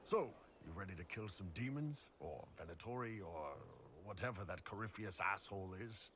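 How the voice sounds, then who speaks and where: An actor playing an easygoing mercenary captain in a video game, talking bluntly and casually. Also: male voice, deep voice, expressive, normal speed, fairly bright tone, slight background noise. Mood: blunt banter. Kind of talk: scripted video game dialogue.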